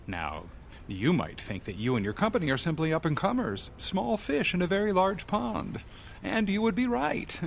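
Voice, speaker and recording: An actor playing a pompous, pushy businessman in a radio drama, wordy and theatrically smooth. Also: medium pitch, a bit bright, a little hiss or hum.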